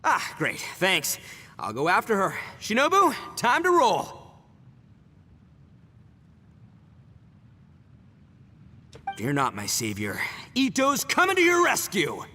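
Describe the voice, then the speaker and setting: A man's voice: high-pitched, huge pitch swings, measured pace, bright, forceful tone, slight background noise, full character voice. A man playing a brash, boisterous young gang boss in a video game, with a swaggering, slangy, theatrical delivery. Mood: gung-ho. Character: brash boisterous young male gang boss, eager self-styled rescuer. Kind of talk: animated video game voice acting.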